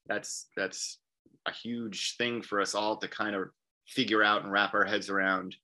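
A man's voice: fairly low pitch, little pitch movement, a little slow, bright, forceful tone. A man talking as an interview guest in loose casual conversation, rambling and often repeating "you know what I mean.". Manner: quotes people in acted voices.

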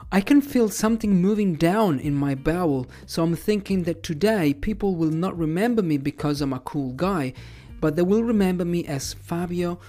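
A man in his thirties, a native Italian speaker, hosting a podcast and telling a personal story in a relaxed, measured way. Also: on the high side. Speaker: podcast host and English teacher.

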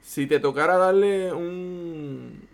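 Male interview host; slow, creaky, gravelly delivery, habitual tag questions. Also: a little high. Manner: leans on a tag question after every phrase.

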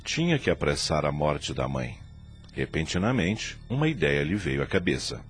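A man narrating a radio drama in a measured storytelling tone. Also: a bass voice, very animated, a little hiss or hum.

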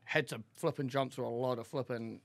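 A man's voice: medium pitch, fairly even intonation, brisk, vocal fry. A man from Auckland with a New Zealand accent, telling candid personal stories as a podcast guest in a giggly, rambling, slangy way. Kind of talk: casual, candid personal storytelling.

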